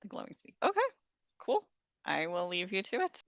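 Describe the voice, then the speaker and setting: A woman's voice: fairly low pitch, very animated, a little fast, gravelly, fairly bright tone. A woman hosting a live reading event, talking casually in a chatty, informal, slightly scattered way. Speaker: host of live reading show. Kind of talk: casual chat.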